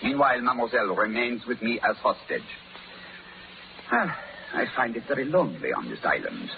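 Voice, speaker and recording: An actor playing a menacing, mock-courteous French pirate captain in 1930s radio style. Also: male voice, mid-range voice, sing-song, brisk, gravelly. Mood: gloating. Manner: theatrical, mock-courteous, with foreign phrasing. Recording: scratchy, tinny 1930s radio recording.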